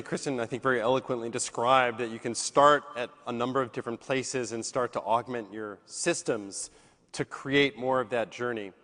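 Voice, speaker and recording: Male moderator, hoarse, subdued, mid-pitched, long hall reverb. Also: a bit bright. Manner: subdued, polite. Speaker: session moderator. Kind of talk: moderating a conference panel.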